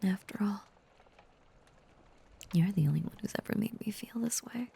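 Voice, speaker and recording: A woman voicing an obsessive, possessive female werewolf lover in an intimate audio roleplay, breathy and often whispering, with a halting, intense, confiding delivery that is tender yet menacing. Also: mid-range voice, warm tone, exaggerated voice. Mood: tender, confessional.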